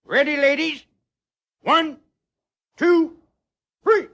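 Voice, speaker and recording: A man's voice: very high-pitched, very slow, vocal fry, pushed and bright, dry recording, highs cut off, full character voice. An actor playing a chatty, folksy frontier townsman with a drawling American Western accent in a radio western. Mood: loud, officious. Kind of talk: old-time radio western acting. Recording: old radio recording with crowd.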